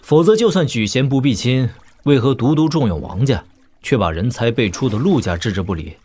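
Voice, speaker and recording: An actor playing a young prince in an audio drama, talking in a casual, wry, teasing manner. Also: male voice, mid-range voice, expressive, fairly quick, darkish tone, a little hiss or hum, close to an everyday voice. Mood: wry, confiding.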